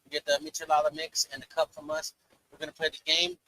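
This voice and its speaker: A male host running a casual live interview, quick and swearing as he pushes viewers to call in. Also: a little high, monotone, sharp tone, rough recording.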